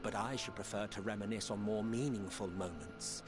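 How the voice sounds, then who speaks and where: A man's voice: fairly low pitch, moderate pace, over music, natural voice. A man playing a dry, haughty ancient scholar. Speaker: game cutscene character. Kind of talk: voiced game cutscene.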